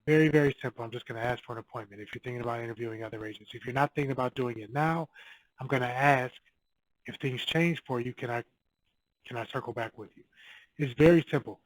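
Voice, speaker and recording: A man's voice: mid-range voice, quick pace, no room sound, poor audio. A man records a casual advice video, speaking in a mid-range voice. He coaches in a direct, no-nonsense way and comes back to the same points again and again. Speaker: real estate agent giving cold calling advice.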